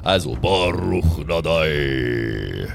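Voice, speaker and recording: A man's voice: rumbling low, animated, drawn-out words, gravelly, music in the background. A man playing a tabletop game, casually thinking aloud and dropping in English slang. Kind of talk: casual improvised game chat.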